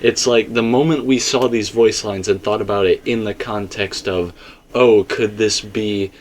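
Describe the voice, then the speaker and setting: A man's voice: on the low side, steady tone, measured pace, slight background noise. A man in a casual theory discussion, explaining his points emphatically and circling back to them. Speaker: video game theorist.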